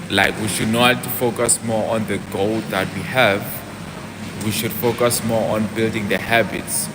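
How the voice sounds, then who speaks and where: A young man talks casually on a fitness livestream, his voice sitting on the lower side. He keeps breaking off to answer viewer comments before picking his thought back up. A clear hum of air conditioning and passing vehicles runs underneath. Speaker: fitness vlogger.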